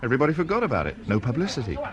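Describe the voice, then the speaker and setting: An actor playing a dry, disgruntled schoolteacher and struggling novelist, pedantic and self-deprecating, in a radio drama. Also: male voice, medium pitch, wildly swooping pitch, rapid-fire, a crowd in the background. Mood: wry, disgruntled. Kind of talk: naturalistic radio drama dialogue.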